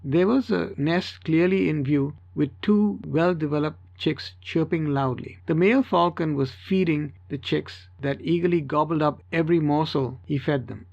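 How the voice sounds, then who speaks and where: Higher-voiced man narrating a story. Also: moderate pace, gravelly, hardly any pauses, a little mellow, dull audio. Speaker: audiobook narrator. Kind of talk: scripted first-person story narration.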